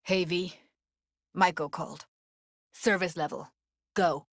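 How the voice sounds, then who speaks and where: A woman's voice: lowish voice, steady tone, takes it slowly, creaky, dry recording, clean studio sound, full character voice. An actress voicing a tough, guarded young woman techie in a cyberpunk video game, speaking in casual, clipped slang with a wry edge. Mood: matter-of-fact. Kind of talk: naturalistic video game dialogue, isolated voice lines.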